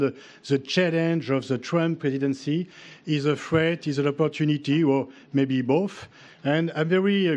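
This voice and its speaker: A man opening a conference session with halting formal remarks and many fillers. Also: fairly high pitch, darkish tone. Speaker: conference host.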